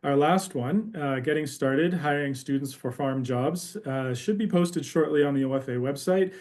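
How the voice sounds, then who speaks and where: A man who is a policy analyst, opening a webinar as host, talking quickly with many filler sounds. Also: a little high, darkish tone. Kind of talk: webinar introduction. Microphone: video call.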